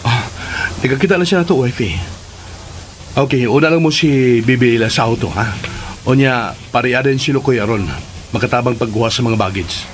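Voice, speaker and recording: A man acting an affectionate, teasing young husband in a Philippine radio drama, in an expressive manner. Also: mid-range voice, fairly quick, pauses often, a little hiss or hum, lightly acted. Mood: cheerful.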